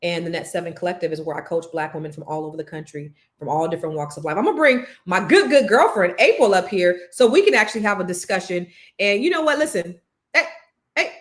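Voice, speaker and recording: Fervent, low-voiced woman coach. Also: expressive, fast talker. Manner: emphatic, preacher-like cadence. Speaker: life coach for women. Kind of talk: motivational talk to camera.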